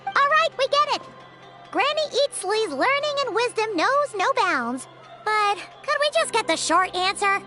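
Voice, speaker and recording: Squeaky, very high little fairy. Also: female voice, very animated, measured pace, pushed and bright, heavily acted. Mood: indignant. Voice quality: squeaky, childlike. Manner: exclamatory, speaks of self in third person. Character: tiny excitable female floating fairy companion, cheeky and childlike. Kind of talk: video game voice acting. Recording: music behind the voice.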